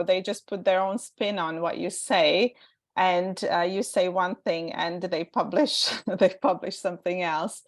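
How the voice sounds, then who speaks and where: Woman, Croatian accent, slightly low voice, webinar moderator, informal, hesitant, self-rephrasing. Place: online webinar call.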